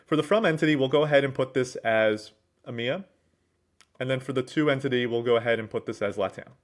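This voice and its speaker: A man narrating a business software demo in a businesslike, explanatory way, with wordy explanations. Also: mid-range voice, fast. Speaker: presenter, managing director at a software consultancy.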